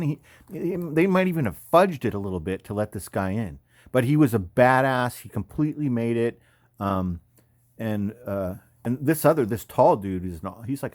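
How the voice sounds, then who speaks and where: A man's voice: mid-range voice, dry recording, clean studio sound. An American man in his fifties, an army veteran, talking in a casual, rambling, slangy way as he comments on photos. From California, United States. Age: 50s. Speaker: army veteran, former paratrooper and combat medic.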